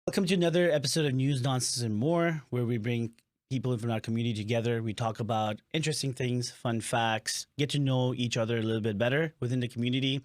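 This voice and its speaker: A young man hosting a podcast, relaxed and rambling, laughing easily as he talks. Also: mid-range voice, fast, dry recording, clean studio sound. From born in Africa, partly raised in Nepal. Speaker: podcast host. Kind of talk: casual chat.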